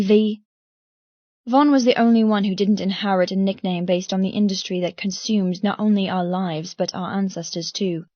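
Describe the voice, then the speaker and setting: A woman's voice: mid-range voice, a little mellow, no echo, highs cut off. A woman reading first-person dramatic fiction as an audiobook narrator.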